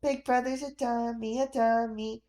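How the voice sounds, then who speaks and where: A woman's voice: fairly high pitch, fairly even intonation, a little slow, warm tone, lightly acted. A woman voicing a mischievous little boy, a teasing younger brother with a childish, taunting manner.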